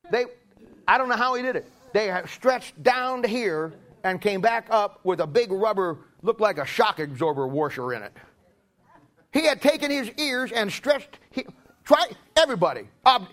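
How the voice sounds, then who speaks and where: A middle-aged man delivers a sermon in a notably high-pitched voice. He preaches in a folksy, emphatic manner, working through anecdotes and repeating key phrases to drive them home. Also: fairly bright tone.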